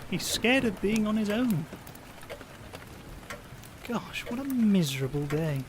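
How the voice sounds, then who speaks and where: A man's voice: high voice, sing-song, slow pace, noisy background, full character voice. A man playing a timid, pleading young husband and father in an audio drama, hesitant and awkward in manner. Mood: gloomy. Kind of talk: naturalistic audio drama acting.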